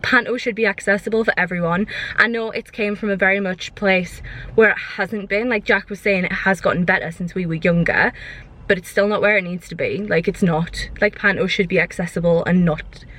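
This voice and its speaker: A woman's voice: mid-range voice, steady tone, a little fast, fairly bright tone. A young British woman chatting casually to her vlog viewers in an informal, rambling way. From United Kingdom. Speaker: vlogger.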